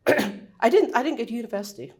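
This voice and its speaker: A woman's voice: a little high, moderate pace, husky. A woman in her sixties from England with a southern English accent, telling her life story as an interview guest. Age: 60s. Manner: relaxed and matter-of-fact, with brief yeps and restarts. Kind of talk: casual interview.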